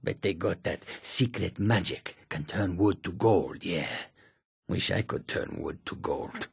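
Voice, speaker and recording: An actor playing a rough, greedy cat-folk bandit in a fantasy video game, with coarse, clipped, grumbling speech. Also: male voice, low-pitched, measured pace, no room sound, clean studio sound, full character voice.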